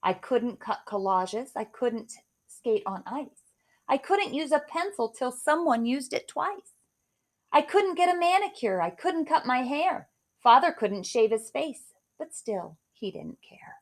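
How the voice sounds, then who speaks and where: A woman's voice: sits high, animated, measured pace. A woman reading a rhyming children's story aloud in a theatrical, emphatic way. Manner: theatrical, emphatic delivery of rhymes. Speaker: storybook reader.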